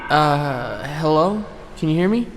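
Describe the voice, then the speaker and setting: A man's voice: fairly high pitch, animated, measured pace, creaky, over loud music. Man acting as hesitant young newcomer, echoing hall. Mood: tentative, curious. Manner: hesitant, polite, subdued. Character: unsure, polite young male newcomer to a hive mind. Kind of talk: scripted fan audio drama acting. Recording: reverberant hall, faint noise.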